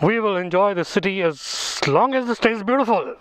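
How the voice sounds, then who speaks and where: A man's voice: high voice, expressive, a little fast, music in the background, low-quality recording. A man giving casual, informal commentary to viewers as a motovlog host, speaking into a helmet setup outdoors. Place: outdoors, riding a motorbike on rural roads. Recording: loud wind and motorbike engine noise.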